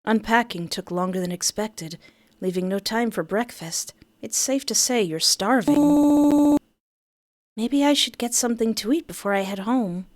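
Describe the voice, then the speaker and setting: A woman's voice: a little high, measured pace, dry recording. Playful woman doing a let's-play. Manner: playful, expressive. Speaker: game streamer reading the narration aloud. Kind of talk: playful let's-play commentary and read-aloud narration.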